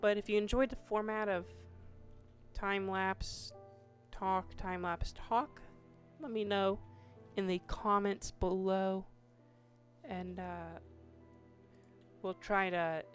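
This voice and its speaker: A woman with a hoarse voice talks her way through a building project in a relaxed, unhurried drawl, circling back and restarting her sentences. Faint music plays quietly beneath her commentary. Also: medium pitch. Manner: slow, meandering, with many restarts and fillers. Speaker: Minecraft YouTuber. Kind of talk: casual gameplay commentary.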